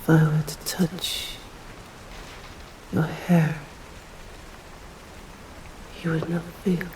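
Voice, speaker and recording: English-accented female vampire, voiced by a woman for ASMR roleplay: stern yet protective, hushed and formal, very unhurried, with a reverberant room sound. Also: sits low, level tone, slight background noise. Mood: hushed, tender. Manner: slow hushed whispering, formal old-fashioned phrasing.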